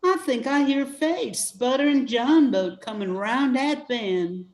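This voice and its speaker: A woman's voice: fairly high pitch, slow pace, a little mellow, reverberant, a little hiss or hum. An older woman playing a plucky, affectionate, folksy bayou mother in a radio play. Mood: tender, thoughtful. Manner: folksy, homespun dialect.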